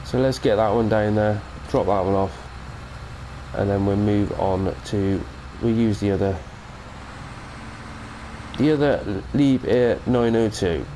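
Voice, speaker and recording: Low, mellow British man with a cold. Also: slow, pauses often, a little hiss or hum, poor audio. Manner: chatty, rambling, self-correcting. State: has a cold. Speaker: gaming channel host. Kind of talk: casual mod review commentary.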